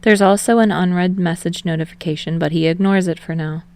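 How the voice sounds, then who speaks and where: Podfic narrator: woman, lower-pitched voice with noticeable vocal fry, reading scripted fiction. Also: steady tone, a little fast, a little mellow, no room sound.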